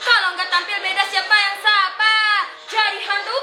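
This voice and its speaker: A young woman acting a boastful, mocking self-styled artist in a theatrical stage monologue, exaggerated and taunting, with drawn-out, singsong words. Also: very high voice, little pitch movement. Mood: brash, showy. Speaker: student stage actor in a monologue competition. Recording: roomy echo, faint background noise.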